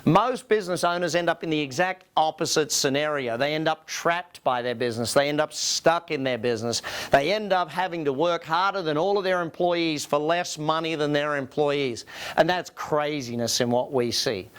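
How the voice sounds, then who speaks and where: Male business coach, Australian, voice on the higher side, emphatic seminar presenter who works the audience with questions. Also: normal speed, a bit bright, clear, resonant tone. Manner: emphatic, rhetorical questions to listeners.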